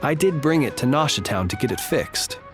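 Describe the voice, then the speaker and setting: A woman playing a courteous, composed adult in a video game, speaking formally and with gentle flattery. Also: very deep voice, normal speed, music in the background. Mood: courteous, calm. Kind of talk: video game character acting.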